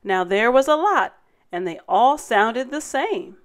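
A woman hosting story time, reading a rhyming children's picture book aloud in a dramatic, deliberate way. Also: on the high side, big pitch swings. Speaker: story time host and narrator.

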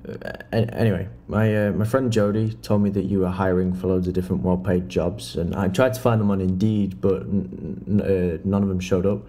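A man's voice: deep voice, steady tone, moderate pace, few pauses, dark tone, close to an everyday voice. A young British man in his late teens acting a nervous, eager job applicant in a horror drama, stammering and hesitant. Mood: earnest, hesitant. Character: nervous, eager nineteen-year-old male job applicant. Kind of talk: naturalistic audio drama acting in a horror film.

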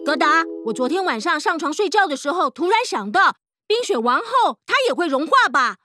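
A woman's voice: high-pitched, normal speed, music in the background. A woman voicing an eager, reckless young boy in a cartoon. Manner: eager, excitable. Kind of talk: cartoon dubbing.

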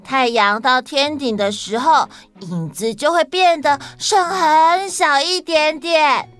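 A woman's voice: high-pitched, takes it slowly, fairly bright tone, faint music behind, pitched above the performer's own voice. A woman voicing a curious, headstrong baby ant in a children's story, assertive and full of questions. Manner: assertive, inquisitive.

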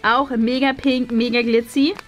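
A high-pitched woman hosts a casual vlog. She chats to the camera in an enthusiastic, gushing way. Faint music plays behind her. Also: flat delivery, measured pace. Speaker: vlog host.

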